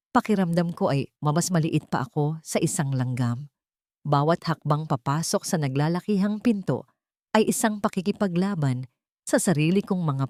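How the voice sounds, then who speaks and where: A woman reads a scripted dramatic story in the first person. Her voice sits fairly low and is recorded very dry. She is solemn, setting out short phrases with deliberation. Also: a little mellow, no echo. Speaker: narrator.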